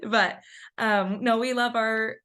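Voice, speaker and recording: A woman's voice: a little high, fairly even intonation, slow, fairly bright tone, clear, resonant tone. A woman in her thirties presenting a webinar in a chatty storytelling way, a little scratchy from a cold. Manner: chatty, storytelling, frequent ums. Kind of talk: conversational presentation.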